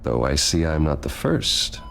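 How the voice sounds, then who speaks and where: A brooding, earnest young rich man in a story game. His voice is deep and gravelly with heavy fry, gentle and a bit hesitant. Faint noise sits behind him. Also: sing-song, a little slow, exaggerated voice. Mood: sympathetic, awkward. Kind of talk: dramatic video game voice acting.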